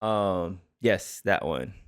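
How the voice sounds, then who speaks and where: A man's voice: low-pitched, lively intonation, drawn-out words, smooth, no echo, clean studio sound. A man co-hosting a music reaction show, chatting loosely and joking with some swearing, tired after a long day. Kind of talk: casual reaction chat.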